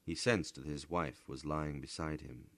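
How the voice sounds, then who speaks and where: A man narrating a literary story dramatically, with deliberate, theatrical delivery that sometimes drops to a hush. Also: extremely low pitch, fairly even intonation. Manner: theatrical, deliberate, hushed at times. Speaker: storyteller, voice actor.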